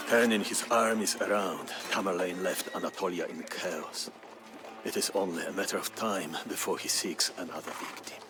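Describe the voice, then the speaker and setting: A man narrating a historical tale as scripted storytelling, in a grave, measured, theatrical manner. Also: a bass voice. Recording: music behind the voice. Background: music.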